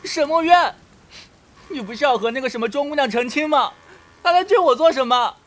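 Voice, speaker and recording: A man's voice: very high-pitched, lively intonation, a little fast. A man playing a shy, jealous young fox spirit in a Chinese period audio drama, with a whiny, pouting, emotional delivery. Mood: jealous anger.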